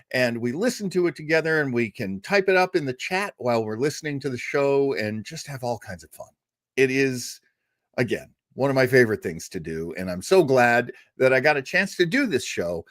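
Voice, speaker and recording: Chatty, animated male stream host. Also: medium pitch, moderate pace, no room sound, studio quality. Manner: chatty and enthusiastic, with many fillers. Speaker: host of a livestreamed radio-show listening party. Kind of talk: casual livestream chat.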